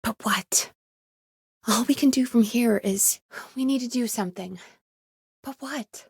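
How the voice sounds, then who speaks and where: An actress playing an earnest, excitable female radio call screener in a video game, speaking in an expressive, conversational way. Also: fairly high pitch, a little slow, slightly rough, no echo, clean studio sound, exaggerated voice. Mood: worried, urgent.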